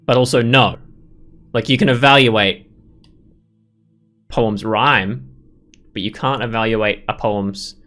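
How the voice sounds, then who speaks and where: Casting: male gaming host, lowish voice, casual, opinionated, thinking aloud as he plays. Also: slow, long pauses, a bit bright, faint music behind. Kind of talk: casual let's play commentary.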